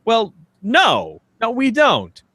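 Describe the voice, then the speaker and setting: A man's voice: very high voice, wildly swooping pitch, takes it slowly, bright, forceful tone, no echo. A man co-hosting a podcast, chatting casually and doing mock-dramatic readings in an amused, rambling way. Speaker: podcast co-host.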